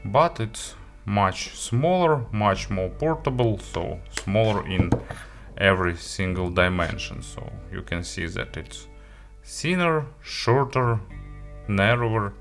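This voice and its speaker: Low-voiced man, slow halting reviewer. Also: expressive, fairly bright tone, faint music behind. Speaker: gadget reviewer, host. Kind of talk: product review, talking through an unboxing.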